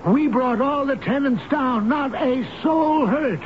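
An actor playing a gentle, loyal old elevator operator in a radio drama, speaking in a halting, earnest, folksy and tender way. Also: male voice, very high-pitched. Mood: pleading, distressed. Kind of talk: 1970s radio drama acting. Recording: noisy muffled old radio broadcast recording.

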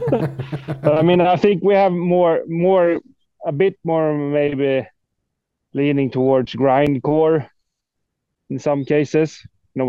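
Man, Swedish accent, clean smooth tone, hesitant, self-deprecating, casual interview guest, dry muffled recording. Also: a little high, mellow, no echo. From Sweden. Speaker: guest, death metal guitarist.

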